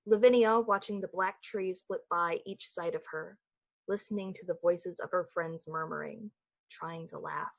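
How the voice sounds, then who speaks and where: A woman with a breathy voice delivering scripted, dramatic narration for a suspense radio play. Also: lowish voice, fairly even intonation, moderate pace, lo-fi sound. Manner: dramatic, literary storytelling.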